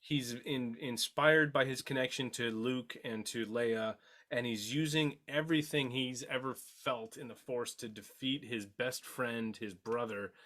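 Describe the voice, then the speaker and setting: A man talking excitedly in a fan discussion, repeating words for emphasis as a podcast panelist. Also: mid-range voice, measured pace.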